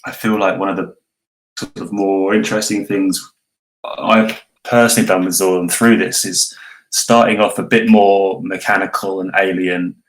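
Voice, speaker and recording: Male voice actor, notably low pitch, measured and reflective in relaxed interview conversation. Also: low-quality recording. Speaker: voice actor, cast member. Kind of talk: casual interview talk. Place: online voice call.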